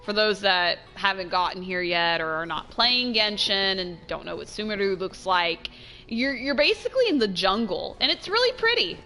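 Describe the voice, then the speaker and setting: A woman giving casual, chatty gameplay commentary as a streamer, expressive and trailing off into asides. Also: mid-range voice, normal speed, faint music behind. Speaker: game streamer.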